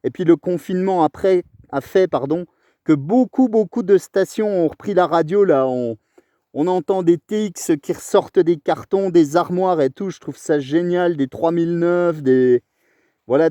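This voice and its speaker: A man's voice: a little high, moderate pace, dark tone, dry recording, clean studio sound. A man chatting casually as a radio operator in long, rambling, enthusiastic turns. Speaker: amateur radio operator recording his contact.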